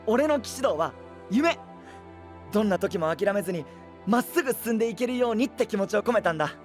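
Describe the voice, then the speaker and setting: A man's voice: high-pitched, a little fast, soft background music. A man playing an earnest, boyish, open-hearted young knight in an anime voice drama. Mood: eager. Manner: boyish, casual, expressive.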